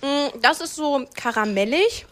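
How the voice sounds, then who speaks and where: A woman's voice: sits high, expressive, unhurried, fairly bright tone. A woman working as a radio field reporter, chatting enthusiastically and casually live on location, often while tasting food. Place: inside an ice cream parlour. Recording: background noise of an ice cream parlour.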